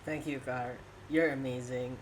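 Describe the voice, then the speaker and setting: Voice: medium pitch, flat delivery, measured pace, slight background noise, rough recording. An interview host talking in a casual, conversational way.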